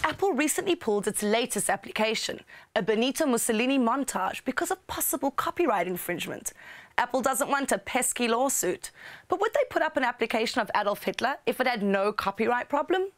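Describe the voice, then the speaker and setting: Young woman host, brisk scripted news read with wry quips, highish voice, dry lapel-mic sound. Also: animated, no room sound. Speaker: show host. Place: studio with blue screen.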